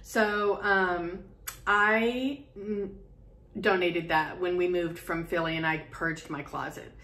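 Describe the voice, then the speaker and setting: A woman's voice: lowish voice, fairly even intonation, slow, bright, forceful tone. Chatty woman rambling about her sewing. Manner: chatty, rambling, many ums and restarts. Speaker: sewing vlogger. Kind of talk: casual chat to camera. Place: home sewing room. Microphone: camera in front, medium close framing.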